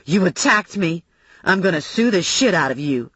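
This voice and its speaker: A woman's voice: fairly low pitch, lively intonation, moderate pace, breathy edge, no echo, highs cut off. A woman voicing an indignant, spiteful female rival in an audiobook, accusing and scornful. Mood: outraged.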